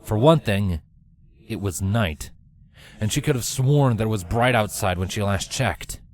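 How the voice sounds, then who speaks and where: A man with a fairly low voice narrates a written story. He reads it as a scripted third-person tale. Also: expressive, a little slow. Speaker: narrator of fan fiction.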